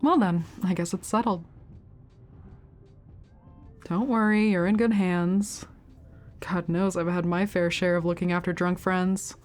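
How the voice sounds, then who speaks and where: Woman acting a teasing, caring older sister, low-toned. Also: fairly even intonation, measured pace, long pauses, faint music behind, lightly acted. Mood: reassuring, playful.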